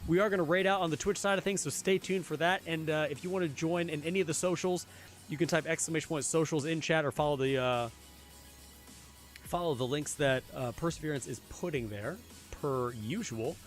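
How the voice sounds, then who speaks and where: A young man streaming a game, chatting casually with his viewers, his voice a little hoarse. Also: on the high side, fairly quick, faint music behind. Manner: chatty, answers chat, repeats phrases. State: slightly hoarse. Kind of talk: casual live-stream chat while gaming.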